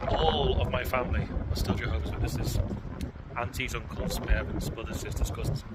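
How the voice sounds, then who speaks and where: A man's voice: mid-range voice, fairly even intonation, normal speed. A man from the United Kingdom giving casual advice in a conversational way, with frequent ums and restarts. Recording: loud outdoor background noise.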